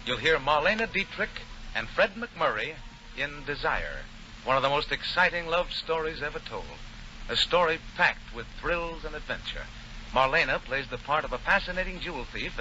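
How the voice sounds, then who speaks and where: A man's voice: medium pitch, expressive, moderate pace, pushed and bright. A man hosting a 1940s radio show in an effusive, showman-like announcing style. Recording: dull, noisy 1940 radio recording.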